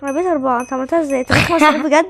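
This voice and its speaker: A woman with an Egyptian Arabic accent chatting casually and playfully as a gaming host, excitable and teasing, often repeating words in chants. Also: high voice, rapid-fire, gravelly. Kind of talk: casual playful gameplay chat.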